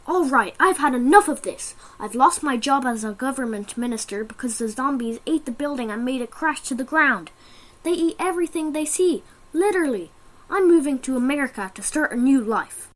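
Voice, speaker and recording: A woman's voice: high-pitched, expressive, normal speed. A woman voicing a panicked, fed-up male government minister fleeing zombies in a dramatic, exclamatory way.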